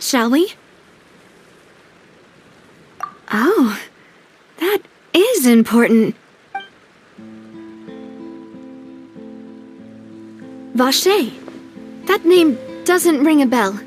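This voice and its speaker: An actress voicing a poised, earnest young woman who leads an organization in a game cutscene, with a refined, measured, sincere manner. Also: high voice. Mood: composed, inquiring. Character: poised young lady leading a crime-fighting organization, earnest and polite. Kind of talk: video game cutscene voice acting.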